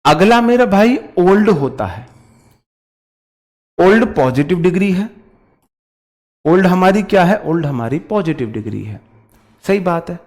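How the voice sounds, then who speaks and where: A man's voice: high voice, very animated, a little slow, long pauses, darkish tone. A man with an Indian accent teaching a grammar lesson informally, drilling points by repetition and addressing students familiarly. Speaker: English grammar teacher for exam candidates. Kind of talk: informal classroom-style grammar lesson, switching between Hindi and English.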